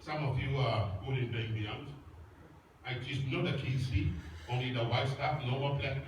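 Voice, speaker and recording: Samoan-accented pastor preaching in echoey church. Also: male voice, mid-range voice, moderate pace, slight background noise. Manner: emphatic preaching, simple repeated phrases. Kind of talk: sermon. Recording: echoey church hall, voice from far off.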